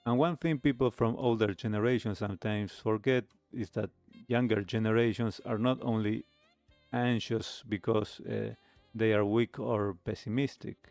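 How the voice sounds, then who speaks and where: A man hosting a talk show, giving reflective commentary in halting, deliberate phrases. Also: fairly low pitch, fairly even intonation, darkish tone, dry recording, soft background music.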